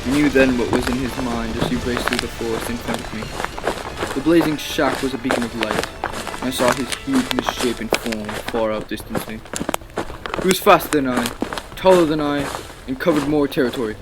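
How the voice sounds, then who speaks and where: A man's voice: medium pitch, fairly quick, loud music behind the voice, lightly acted, poor audio. An actor playing a tormented, guilt-ridden man of science, fervent and anguished in old-time radio drama style. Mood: tense narrating. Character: tormented, guilt-ridden male scientist haunted by his creation.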